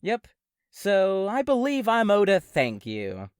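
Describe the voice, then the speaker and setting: A woman's voice: mid-range voice, wildly swooping pitch, slow pace, a little mellow, dry recording, studio quality. A woman playing a smug, teasing robot companion in an audio drama, with a playful, taunting manner.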